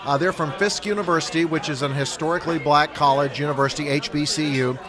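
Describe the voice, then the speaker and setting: A middle-aged man with a slightly high voice answers interview questions calmly and informatively, in the manner of a veteran broadcaster. Clear crowd noise sits behind him. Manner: measured, informative. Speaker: radio broadcaster guest.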